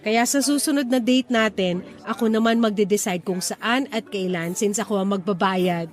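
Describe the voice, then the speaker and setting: A woman's voice: mid-range voice, moderate pace, faint music behind, lightly acted. An actress playing an independent, frank young single woman in radio romance drama, speaking conversational Taglish in a reflective, assertive way.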